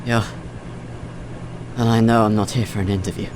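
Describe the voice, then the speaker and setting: An actor playing a nervous, earnest young job candidate in an audio drama, halting and apologetic in his speech. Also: fairly low pitch, little pitch movement, noisy background, lightly acted. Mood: resigned. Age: young adult.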